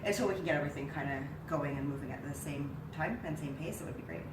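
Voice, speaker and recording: A woman's voice: deep voice, fast. A woman in her thirties with a cold and a nasal voice, chatting animatedly as co-host on a living-room couch. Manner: chatty, animated when excited. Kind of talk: casual sports chat. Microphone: far camera mic. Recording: roomy, distant, hissy.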